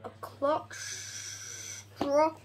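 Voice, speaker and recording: A young reader reading a storybook aloud haltingly, stumbling over and repeating words. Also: high voice, level tone, poor audio.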